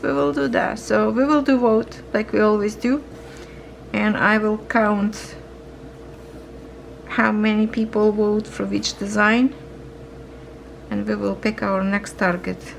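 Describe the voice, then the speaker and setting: Slow gravelly-voiced woman stitching and chatting. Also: mid-range voice, slight background noise, low-quality recording. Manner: slow, musing, talks to viewers while working. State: losing her voice, coughing. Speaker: cross-stitch vlogger. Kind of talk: casual chat while stitching.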